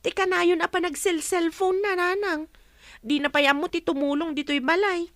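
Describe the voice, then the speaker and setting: A young big sister nursing a grudge. The voice is extremely high, bright and dry, with an Ilocano accent, indignant and nagging in village radio-drama style. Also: female voice, fairly even intonation, normal speed, no room sound. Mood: complaining. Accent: Ilocano, northern Philippines. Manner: complaining, sharp-tongued.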